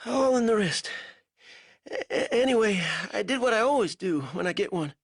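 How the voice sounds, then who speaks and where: A man voicing a starved, delirious male prisoner of war who wheezes and giggles, high and breathless. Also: animated, moderate pace, dry recording, clean studio sound.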